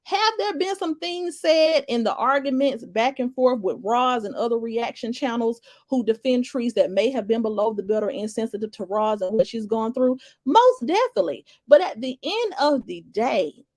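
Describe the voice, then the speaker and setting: A woman talks to her live viewers with a slightly high voice. She rambles emphatically and restarts her sentences often. The sound is dry and close, with no room echo. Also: animated, normal speed, hardly any pauses, a little mellow. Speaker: livestream commentator. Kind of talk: casual live commentary, greeting viewers in chat.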